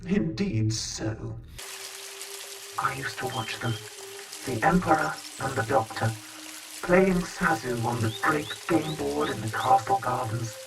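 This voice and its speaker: Very deep, creaky alien noblewoman. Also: expressive, full character voice, poor audio. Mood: solemn, wistful. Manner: formal, measured. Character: solemn, stately alien noblewoman, reminiscing and poetic. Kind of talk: audio drama acting. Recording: music under the voice.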